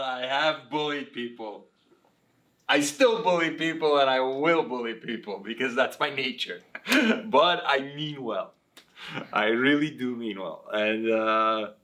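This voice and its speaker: A man's voice: on the high side, a bit bright. Blunt, frank man. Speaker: interview participant. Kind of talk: casual group discussion.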